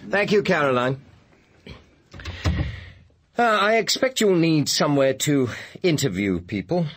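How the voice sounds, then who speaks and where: An actor playing a formal, guarded senior scientist with an English accent in a radio drama, speaking in a measured, articulate way. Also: male voice, on the high side, big pitch swings, fairly bright tone, full character voice. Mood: brisk, courteous. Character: smooth, guarded male senior scientist, director of a power station. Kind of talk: British radio drama acting.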